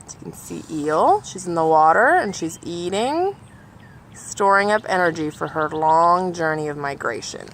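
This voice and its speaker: A woman's voice: lowish voice, animated, normal speed, a little hiss or hum. A woman reading a children's picture book aloud, explaining things directly to her listeners as she goes. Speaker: storybook reader for a nature organization.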